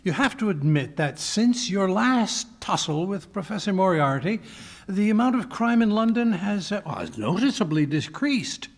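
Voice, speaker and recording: A man's voice: high voice, big pitch swings, moderate pace, full character voice. A man playing a bumbling, genial older doctor sidekick in a 1940s radio mystery style, hesitant and stammering. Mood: hopeful, blustering. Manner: blustering, hesitant, stammering, throat-clearing. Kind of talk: 1940s radio mystery acting, live re-creation.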